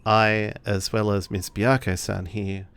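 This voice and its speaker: Deferential samurai interpreter for a tabletop game, male player: lowish pitch, formal, polite phrasing. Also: little pitch movement, unhurried, fairly bright tone, smooth. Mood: respectful. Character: courteous samurai interpreter speaking for his silent mistress.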